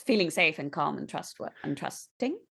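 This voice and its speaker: A woman's voice: sits low, animated, normal speed, vocal fry, fairly bright tone, husky. A woman playing a tabletop roleplaying game, casually describing what her character does.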